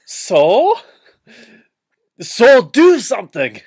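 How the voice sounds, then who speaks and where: Guy putting on a panicky little hobbit lad, high and yelly, really hammy. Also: wildly swooping pitch, extremely slow, heavily acted. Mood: impatient. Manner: yelling, exaggerated.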